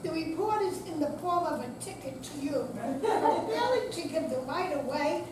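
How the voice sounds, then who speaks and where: A woman plays a stern female police officer with a high voice and a deliberate, official manner. It is a live staged reading in a very echoey room. The mic is distant and the noise is clear. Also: lively intonation. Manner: formal, firm, deliberate. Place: senior center hall, live performance.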